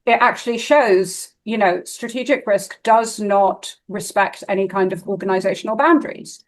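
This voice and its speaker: Woman, risk specialist, fluent and articulate, steady conversational delivery. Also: mid-range voice, moderate pace. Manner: fluent and articulate, tags points with "right?". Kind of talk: casual conversation.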